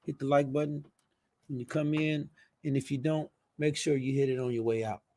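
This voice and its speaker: A man's voice: mid-range voice, fairly even intonation, moderate pace, mellow. A man hosting a live stream, chatting casually and informally with his viewers, with trailing asides. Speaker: live stream show host.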